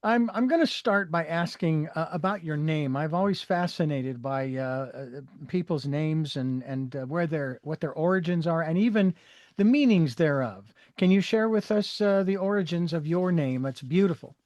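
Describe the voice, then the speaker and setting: A man's voice: fairly high pitch, moderate pace, darkish tone, dry recording. A man hosting an interview show, speaking in a rambling way with many fillers and restarts. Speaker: podcast host.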